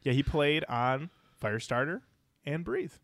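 A man's voice: on the high side, animated, unhurried, fairly bright tone, no echo, studio quality. A man hosting a casual music discussion podcast, talking in an enthusiastic, slangy, joking way. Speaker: podcast host.

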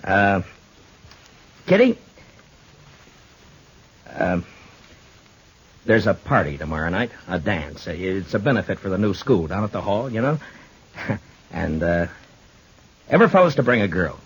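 Old dull radio recording: deep American frontier lawman, halting, sincere, lively intonation. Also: husky, heavily acted. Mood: nervous, halting. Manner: halting, low-key, awkwardly sincere. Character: plainspoken, bashful, earnest frontier marshal, adult man. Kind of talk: 1950s radio western acting.

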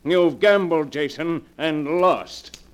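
A man's voice: on the high side, takes it slowly. A man acting a ruthless, paranoid businessman in a 1940s radio mystery, commanding and coldly sardonic in a theatrical way. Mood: coldly menacing. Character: ruthless, paranoid businessman, menacing husband turned killer. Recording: noisy old 1940s radio recording.

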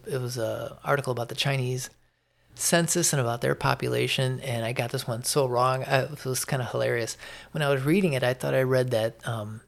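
Gravelly, opinionated American man. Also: mid-range voice. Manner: opinionated, emphatic, halting delivery with repeated rhetorical phrasing. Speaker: podcast host. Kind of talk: unscripted opinion monologue.

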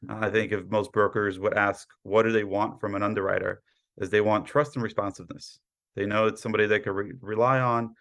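American male podcast guest, thirties, low gravelly voice, modest conversational explainer. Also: little pitch movement, fairly quick, fairly bright tone. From Brooklyn, New York, born in Poland. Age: 30s. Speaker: podcast guest, cyber insurance professional. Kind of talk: conversational interview answers.

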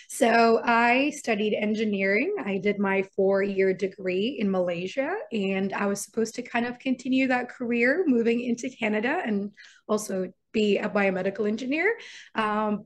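Chatty young woman, podcast guest. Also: medium pitch. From Iraq. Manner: chatty, laughs easily, many fillers. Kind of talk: casual interview chat.

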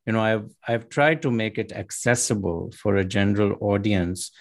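A man answering interview questions as an author and academic, speaking in an articulate, measured way with light laughs. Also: on the low side, steady tone. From United States and Pakistan.

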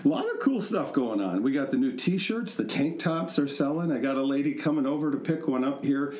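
Podcast host: man, medium pitch, casual chat with self-mocking humor, recorded in an echoey room. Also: lively intonation, brisk, darkish tone. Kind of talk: casual chatty hosting. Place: echoey indoor studio room.

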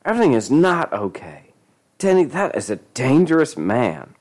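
A man's voice: fairly high pitch, big pitch swings, slow pace, gravelly, pitched above the performer's own voice, heavily acted. A man voicing an older mother in a story, stern, lecturing and exasperated. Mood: stern alarm.

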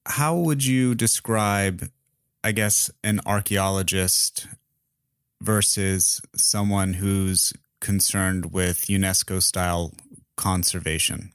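A man's voice: low voice, steady tone, slow pace, smooth, dry recording, clean studio sound. A man hosting an interview podcast, asking questions in a casual, tentative and informal way.

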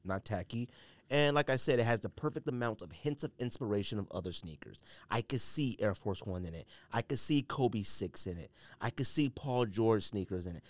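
Emphatic American man with animated pitch swings. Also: dry recording. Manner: emphatic, repeats phrases for stress; colloquial, slangy. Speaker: podcast host. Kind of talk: opinionated solo sports and sneaker commentary.

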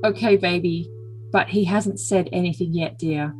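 A woman's voice: fairly low pitch, fairly even intonation, moderate pace, darkish tone, faint music behind, close to an everyday voice, low-quality recording. A woman voicing a doting, controlling, self-important boyfriend in an overly dramatic reading of messages.

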